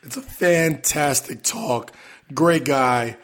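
Hoarse, chatty male podcast host. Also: a little high, takes it slowly, fairly bright tone. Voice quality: raspy. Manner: loose, chatty, swears casually. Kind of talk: casual podcast chat.

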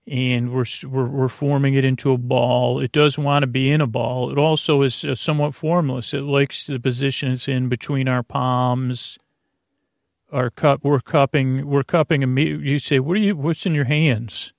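A man with a gravelly, fry-laden voice hosts a bedtime podcast. He talks in a halting, digressive way, trailing off and wandering between tangents. The sound is dry, with no room around the voice. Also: mid-range voice, flat delivery, no echo. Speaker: sleep podcast host. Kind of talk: rambling, meandering bedtime monologue.

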